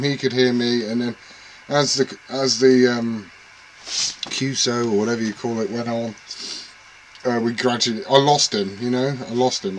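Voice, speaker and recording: A man's voice: medium pitch, a bit bright, a little hiss or hum, poor audio. A man rambling casually and self-deprecatingly to camera about his radio gear at home. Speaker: CB radio hobbyist vlogger.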